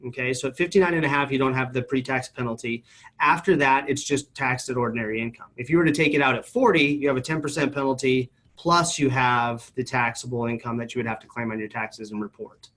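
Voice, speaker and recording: Fast-talking, matter-of-fact man. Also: mid-range voice, a bit bright. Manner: matter-of-fact, definite, informative. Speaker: retirement account company representative. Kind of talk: explanatory interview answers.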